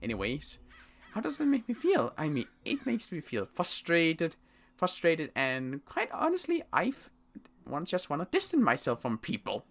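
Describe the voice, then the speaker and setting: A player acting as a wary ex-military sniper in a zombie game roleplay. The voice is bright and forceful, swinging up and down in pitch as the character grumbles. Delivery is halting and exasperated, with little trust for anyone. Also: huge pitch swings. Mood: frustrated. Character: gruff, distrustful ex-military sniper, easily frustrated. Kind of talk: improvised Minecraft zombie roleplay.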